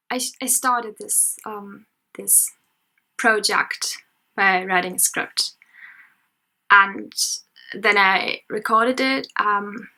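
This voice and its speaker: A woman's voice: medium pitch, pushed and bright, rough recording. A nineteen-year-old woman with a German accent, a student talking through an unscripted vlog in a chatty, self-conscious way with many fillers. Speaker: history and sociology student. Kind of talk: unscripted casual vlog commentary. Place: her parents' house at night.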